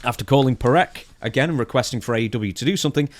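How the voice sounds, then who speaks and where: A man's voice: medium pitch, very fast, no echo. A man co-hosting a wrestling podcast, reading out news notes and discussing them in a conversational way. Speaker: podcast co-host.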